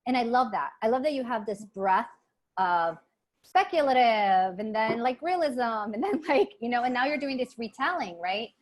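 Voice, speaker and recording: A woman's voice: on the high side, expressive, moderate pace. A woman moderating a book club panel in casual conversation, feeling her way through rambling questions full of fillers.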